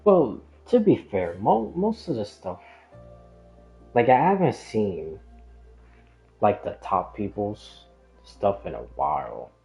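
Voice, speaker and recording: A man's voice: fairly low pitch, very animated, takes it slowly, gravelly, long pauses, dark tone. A man chatting casually as a game streamer, rambling and hesitant, often trailing off and drifting onto tangents. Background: background music.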